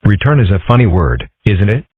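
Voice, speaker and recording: A man as a deadpan low-voiced cartoon fox boy. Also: breathy edge, dry recording. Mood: mild. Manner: deadpan, measured, wordy. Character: calm, philosophical young cartoon fox boy, dryly skeptical. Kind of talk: amateur comic dub character acting. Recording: muffled, tinny phone-like audio.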